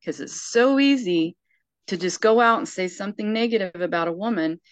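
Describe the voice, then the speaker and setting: A woman's voice: mid-range voice, fairly quick, no echo, muffled recording. A sixty-year-old woman speaking earnestly and emphatically in casual conversation, often restarting her sentences. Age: 60s.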